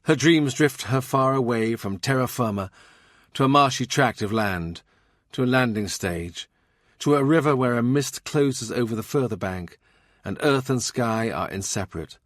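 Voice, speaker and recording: A male narrator reads literary historical fiction at a medium pitch. His phrasing is measured and deliberate. The recording is very dry and close. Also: animated, no room sound. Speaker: audiobook narrator.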